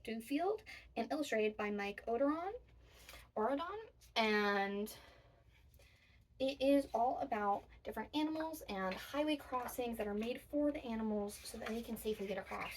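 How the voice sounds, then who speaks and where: A woman's voice: fairly high pitch, moderate pace, distant mic, rough recording. A woman presenting a children's storytime, explaining activities and reading picture books in a sing-song, emphatic way.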